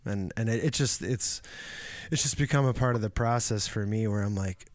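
A man hosting a casual interview, chatting in a relaxed, meandering way. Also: on the low side, normal speed, no echo, clean studio sound. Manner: relaxed, chatty, drifting with fillers. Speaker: podcast host.

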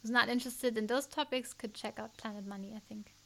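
A woman's voice: fairly high pitch, fairly even intonation, fast, gravelly, bright, forceful tone. A woman with a German accent, a podcast host chatting casually and searching for words in English. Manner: thoughtful, hesitant, many fillers. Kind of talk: casual interview chat.

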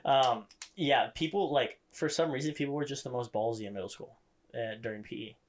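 A young man co-hosting a podcast, telling relaxed stories and teasing his co-host. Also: mid-range voice, fairly quick. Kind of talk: casual chat.

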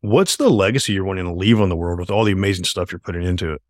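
An enthusiastic man hosts his podcast, rambling through his points and often checking in with "right?". His voice sits low and sounds dry and close, with no room around it. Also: rapid-fire, no echo. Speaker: podcast host. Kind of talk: casual podcast interview.

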